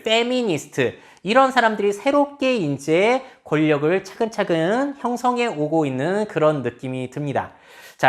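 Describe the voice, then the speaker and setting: A woman lecturing on social change, explaining step by step and asking her audience rhetorical questions. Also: low voice. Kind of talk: lecture on future trends.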